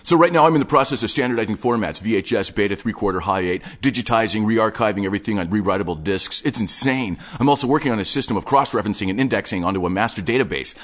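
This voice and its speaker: A wired, obsessive male collector of strange tapes, pitched well above a normal speaking voice, as a man puts him on. He rattles through his collection in loud, excitable, rambling bursts. It sounds like an audiobook character voice. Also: fast, hardly any pauses. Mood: eager.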